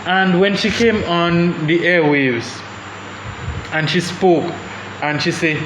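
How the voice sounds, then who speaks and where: A young man talks through his views on a panel, pausing and restarting as he thinks. His voice sits a little high, and the room is echoey with steady background noise. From Saint Vincent and the Grenadines. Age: young adult. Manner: thoughtful, hesitant, many restarts. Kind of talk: panel discussion.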